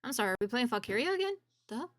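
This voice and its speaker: A woman's voice: on the high side, sing-song, rapid-fire, dry recording. A woman game streamer giving casual, candid, informal commentary as she plays.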